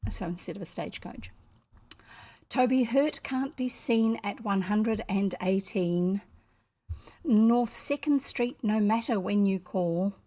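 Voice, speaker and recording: A woman's voice: medium pitch, slow pace, mellow. An older woman with a New Zealand accent reads a storybook aloud at her home desk, chatty and rambling, stopping often to explain things. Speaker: storyteller reading books aloud. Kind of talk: casual read-aloud with chatty asides.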